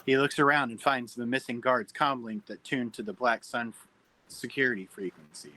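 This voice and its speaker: A man's voice: mid-range voice, steady tone, normal speed, bright, forceful tone. A man serving as narrator, reading screenplay stage directions at a table read.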